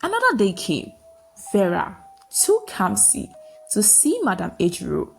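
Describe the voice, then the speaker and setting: A woman's voice: mid-range voice, huge pitch swings, a little slow, creaky, soft background music. A woman narrating a scripted folktale as a storyteller, in dramatic short sentences.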